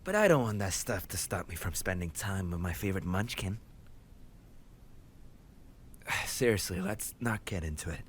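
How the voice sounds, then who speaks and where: Man acting a low-voiced, teasing gang leader boyfriend. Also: lively intonation, brisk, slight background noise. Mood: tender, evasive. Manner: affectionate teasing, mock complaining, casual swearing. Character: tough gang leader boyfriend, playful, soft with his sweetheart. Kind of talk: intimate romantic audio roleplay.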